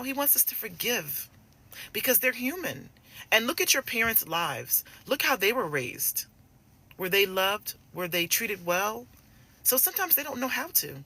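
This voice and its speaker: A woman in her forties with a very bright, forceful voice, pitched on the lower side for a woman. She talks like a coach in a casual live chat, addressing listeners directly and familiarly. Her delivery is emphatic, with key phrases repeated for weight. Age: 40s. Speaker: relationship coach addressing women.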